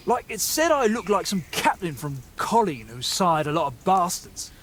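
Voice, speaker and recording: A gullible young medieval bandit for a game. His voice is high and very animated in pitch. He stammers, hesitates and gets worked up easily, with faint noise in the background. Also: moderate pace. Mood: spooked, earnest. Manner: hesitant, stammering, excitable, colloquial. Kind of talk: video game dialogue acting.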